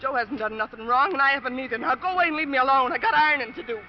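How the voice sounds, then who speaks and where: An American actress playing a defiant, distraught working-class wife in a 1950s radio drama, insistent and defensive in plain speech. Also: female voice, high-pitched, very fast, natural voice. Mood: agitated, hostile. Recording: noisy, muffled old radio recording.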